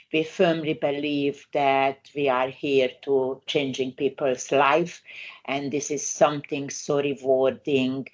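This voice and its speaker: A woman's voice: a bass voice, highs cut off. Older Hungarian-accented woman presenting. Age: 60s. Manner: unhurried, frequent "uh" fillers, non-native grammar. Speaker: migration lawyer presenting a webinar. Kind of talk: webinar presentation.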